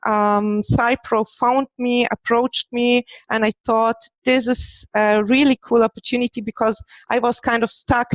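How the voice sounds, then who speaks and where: Female podcast guest from Germany, thirties, recruiter, fairly high voice, dry headset sound. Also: little pitch movement, unhurried, few pauses, no echo, rough recording. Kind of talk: conversational interview. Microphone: headset on a video call.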